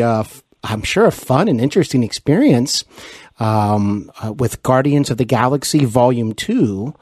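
Man, mid-pitched voice, podcast interviewer, appreciative, halting phrasing, dry recording. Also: a little mellow, no room sound.

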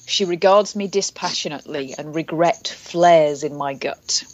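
A woman's voice: low voice, measured pace, darkish tone, no echo, low-quality recording. A woman co-hosting a comedy podcast, reading a novel aloud in a mock-dramatic way and breaking off with exasperated asides. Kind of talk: comic dramatic reading and banter.

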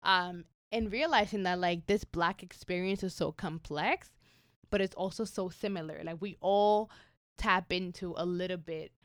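A woman's voice: lowish voice, normal speed, dry recording, clean studio sound. A woman hosting a podcast interview, talking in an earnest, personal way with many "like"s and "right?"s. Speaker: podcast host. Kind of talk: casual interview chat.

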